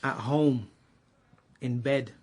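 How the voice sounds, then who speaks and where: Insolent teenage suspect for radio drama: mid-pitched, slangy, cheeky swagger. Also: male voice, very slow, a little mellow, husky. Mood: cocky, insolent. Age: young adult. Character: cocky eighteen-year-old male street youth, insolent murder suspect. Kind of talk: naturalistic radio drama acting.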